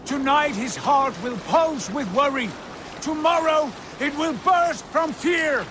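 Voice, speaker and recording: An actor playing a bold, ambitious Viking war leader in a video game, speaking in a grand, deliberate, oratorical way. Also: male voice, extremely high pitch, heavy background noise. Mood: rousing, fierce. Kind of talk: dramatic video game acting.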